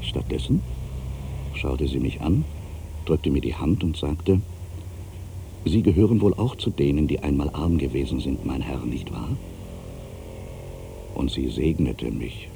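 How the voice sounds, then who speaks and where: An actor playing an irritable, brooding ageing writer, delivering a theatrical literary monologue for a radio play, moving between exclamations and hushed asides. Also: male voice, extremely low pitch, a little slow, gravelly, long pauses, background noise, full character voice. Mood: reflective, hushed. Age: older. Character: ageing writer, musing, irritable, suspicious, quietly superstitious.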